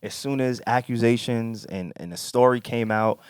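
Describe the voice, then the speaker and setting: A man's voice: mid-range voice, moderate pace, smooth, no echo, clean studio sound. A man co-hosting a podcast in casual conversation, with slangy, emphatic, swearing speech. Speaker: podcast co-host.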